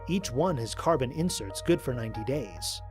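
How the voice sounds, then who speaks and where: A man playing an earnest human space explorer who records mission logs in a measured, reflective way, with a slightly husky voice, in an audio drama. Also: mid-range voice, expressive, music in the background, lightly acted. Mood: observant, wry. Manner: measured, reflective log-keeping delivery. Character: male human space explorer, earnest, observant, wryly fond. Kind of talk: scripted audio drama mission log narration.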